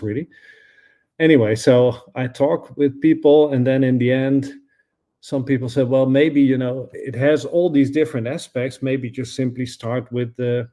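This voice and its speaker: Dutch-accented professor, mellow and thoughtful. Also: male voice, mid-range voice. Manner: thoughtful, searching for words with frequent fillers and restarts. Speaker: guest, professor and author. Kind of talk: conversational interview answers.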